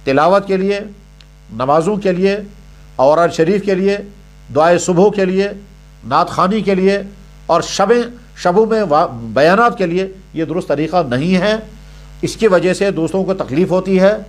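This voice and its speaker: High-pitched man preaching. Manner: didactic, emphatic, repeats points in parallel phrases. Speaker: Islamic scholar answering religious questions. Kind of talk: religious question-and-answer sermon.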